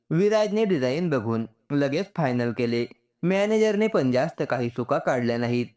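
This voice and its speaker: A man's voice: mid-range voice, darkish tone. A man reading a scripted story aloud as a storyteller.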